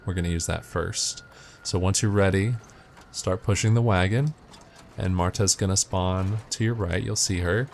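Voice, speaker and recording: Low-pitched man narrating a game walkthrough. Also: moderate pace, gravelly, a little hiss or hum. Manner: matter-of-fact, step-by-step. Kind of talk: instructional gameplay commentary.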